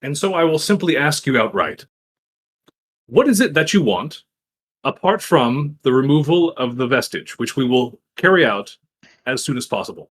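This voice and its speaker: A man's voice: medium pitch, big pitch swings, normal speed. A man voicing a courteous, direct adventurer who speaks formally in a tabletop roleplaying game. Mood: polite, resolute.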